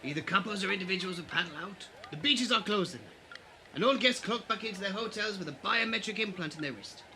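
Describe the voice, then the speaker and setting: An actor playing a flashy, evasive bar owner at a beach resort in a fan audio drama, expressive in delivery. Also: male voice, high voice, fast talker, a little hiss or hum, exaggerated voice. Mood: evasive, sheepish.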